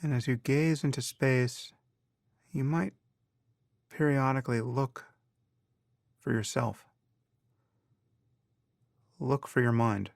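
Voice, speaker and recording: A man guiding a meditation as a teacher, calm and measured, speaking in short phrases with long pauses. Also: mid-range voice, vocal fry, no room sound, clean studio sound. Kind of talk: guided meditation instruction.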